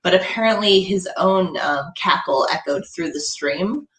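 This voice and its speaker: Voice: medium pitch, a little slow, a bit bright, roomy sound, poor audio. A young adult host chatting casually on a stream, easygoing and laughing often between filler words. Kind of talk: casual podcast chat. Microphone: headphones while streaming.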